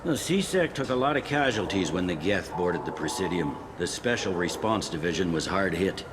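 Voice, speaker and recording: Low-voiced, blunt police captain. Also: male voice, expressive, normal speed. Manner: wry, blunt, matter-of-fact. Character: gruff, pragmatic human male police captain on a space station. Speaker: security captain character. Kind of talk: video game dialogue. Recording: clearly audible ambient noise.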